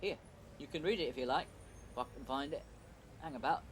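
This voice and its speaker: Shy, higher-voiced young man , acted. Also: a little fast, slight background noise, close to an everyday voice. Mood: bashful. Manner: hesitant, awkward. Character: shy, apologetic, romantic teenage or young boyfriend. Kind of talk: audio play acting.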